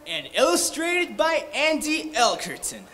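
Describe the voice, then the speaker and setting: A teenage boy from Thailand narrating a children's story at a stand mic on a hall stage, measured and expressive. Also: very high-pitched. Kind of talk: readers' theatre storybook reading. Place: large indoor hall stage. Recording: long hall reverb.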